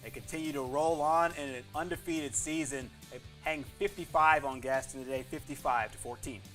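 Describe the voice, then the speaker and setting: A man hosting a sports show, reading high school football scores in an emphatic announcer style. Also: steady tone, moderate pace, a bit bright, soft background music.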